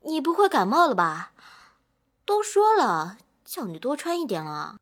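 A woman acting an energetic, bossy teenage girl in an amateur audio drama, excitable and scolding. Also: high voice, measured pace, exaggerated voice. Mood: nagging concern.

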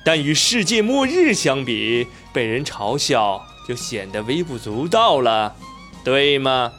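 A man playing a polite but defiant young investigative journalist in a radio drama, measured and argumentative. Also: medium pitch, very animated, a bit bright. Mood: polite, challenging. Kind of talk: Chinese radio drama acting. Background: music.

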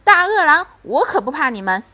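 A woman's voice: on the high side, lively intonation, normal speed. A woman voicing a young mother in a children's storybook, tender with her child and fiercely protective, by turns coaxing and commanding. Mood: fierce, shouting.

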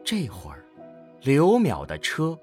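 A man's voice: mid-range voice, huge pitch swings, takes it slowly, a little mellow. A man narrating a scripted science-fiction audio drama in a dramatic storytelling style. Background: faint background music.

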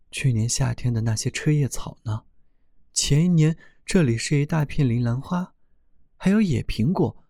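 A man voicing an ancient male oak tree in a storybook tale, speaking in a lowered, slow and wondering voice. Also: warm tone, no room sound, studio quality.

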